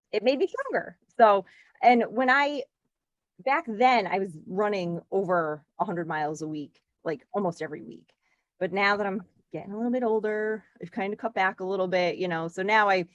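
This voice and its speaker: A woman in her forties from Connecticut, chatting as a podcast guest in a lively, talkative way with frequent laughs and filler words. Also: medium pitch, dry recording, dull audio. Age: 40s. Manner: chatty, animated, laughs often, lots of "like". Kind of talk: casual interview chat.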